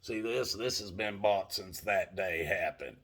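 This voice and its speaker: Older Southern US man, vlogger; low, husky, slow, folksy and salty delivery with a tense, bright edge. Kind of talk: casual monologue to camera.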